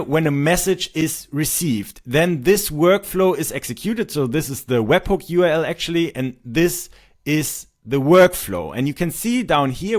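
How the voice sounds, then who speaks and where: A man in his thirties with a German accent, a native German speaker, casually walking through a demo of software he built, with frequent ums and restarts. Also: a little high. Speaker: software developer demoing his tool. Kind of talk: screen-recorded software tutorial.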